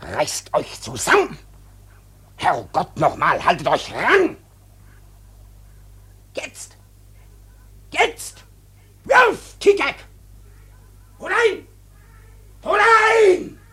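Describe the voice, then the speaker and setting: Radio drama role: fierce male whaling officer, urgent commands, high and wildly varied pitch. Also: wildly swooping pitch, drawn-out words, long pauses, bright, forceful tone, exaggerated voice. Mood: frantic. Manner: urgent, barking commands, from hisses to screams. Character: fierce male whaleboat officer driving his crew.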